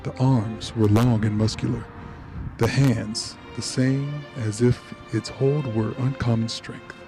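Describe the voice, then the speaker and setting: A man playing the storyteller of a radio play, narrating a ghost tale in a measured, literary way. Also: medium pitch, steady tone, dark tone, faint music behind. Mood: measured, eerie.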